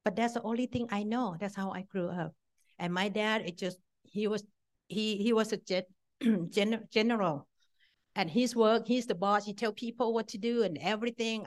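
A middle-aged woman from Thailand with a Thai accent, giving a blunt, self-deprecating personal testimony at a meeting in short punchy phrases. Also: medium pitch. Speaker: speaker at a support-group meeting.

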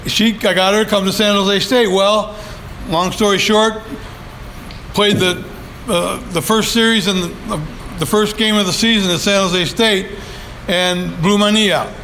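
A man's voice: high voice, fairly even intonation, a little slow, pushed and bright, a little hiss or hum, poor audio. An older man sharing his story at a support group meeting, rambling and folksy with many fillers, speaking into a handheld mic in a meeting room. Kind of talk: personal share at a recovery meeting. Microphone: handheld microphone.

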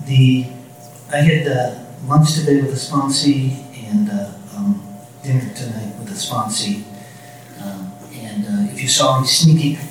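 Middle-aged man, low voice, halting and soft-spoken personal share, dry self-deprecating asides; distant handheld mic in a very echoey meeting room. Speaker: speaker at a recovery meeting. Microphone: handheld microphone.

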